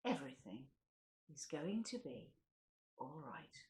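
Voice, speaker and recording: A woman's voice: deep voice, big pitch swings, a little slow, darkish tone. A woman reading poetry aloud in a theatrical, wryly comic way, as the host of a recital. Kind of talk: expressive poetry recital with casual introductions.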